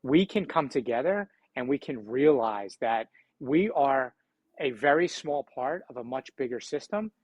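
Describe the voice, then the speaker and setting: A man's voice: medium pitch, expressive, unhurried, no echo, low-quality recording. Philadelphia man in earnest, dry close-miked conversation. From Philadelphia, United States. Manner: earnest, reflective, motivational. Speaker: podcast guest, entrepreneur. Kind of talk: conversational interview.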